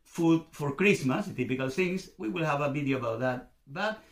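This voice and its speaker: A middle-aged Venezuelan man with a Spanish accent hosts his home channel in English, pausing often with fillers and restarting phrases. His voice sits somewhat high for a man. The room around him gives it a noticeable echo. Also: animated. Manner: hesitant, lots of fillers and restarts. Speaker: YouTube channel host. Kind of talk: casual explainer to camera. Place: home room with shelves, indoors. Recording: roomy echo.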